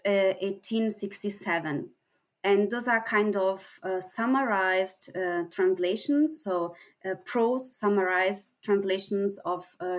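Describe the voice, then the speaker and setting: A woman researcher on an academic panel, explaining her work in a halting way with frequent pauses and restarts. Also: medium pitch. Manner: hesitant, many fillers and restarts while explaining.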